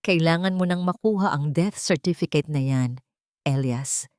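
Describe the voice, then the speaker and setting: Woman doing a low, pushy greedy old mother-in-law. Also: normal speed, darkish tone, dry recording, studio quality, natural voice. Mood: pressuring. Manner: pressing, commanding.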